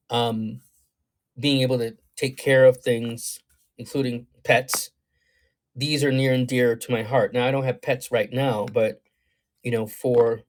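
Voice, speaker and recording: Meandering man chatting on a livestream. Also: medium pitch. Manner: meandering, thoughtful, many ums and you-knows; reads viewer comments aloud and reacts. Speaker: astrologer giving live readings. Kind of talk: livestream talk answering chat.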